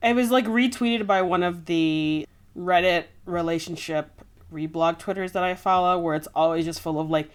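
A woman's voice: lowish voice, normal speed, hardly any pauses, clear, resonant tone. A woman co-hosting a podcast in a casual book discussion, speaking informally and saying "like" a lot. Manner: informal, heavy use of "like". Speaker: podcast co-host.

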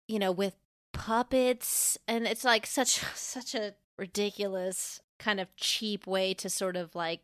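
A woman's voice: medium pitch, fairly bright tone, clear, resonant tone, no room sound, studio quality. A woman co-hosting a podcast, discussing things in a casual, opinionated and informal way. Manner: informal, frequent swearing. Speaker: podcast co-host.

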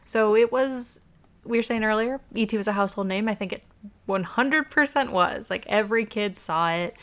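A woman's voice: mid-range voice, normal speed. A woman hosting a podcast, recounting a story in casual chat, full of "um"s and "like"s. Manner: chatty storytelling with many "um"s and "like"s. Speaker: podcast host.

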